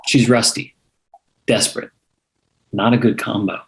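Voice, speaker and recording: A man playing a slow-talking, meddling man. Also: lowish voice, little pitch movement, creaky. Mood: disapproving. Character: earnest, meddling man casting doubt on someone. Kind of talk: screenplay table read.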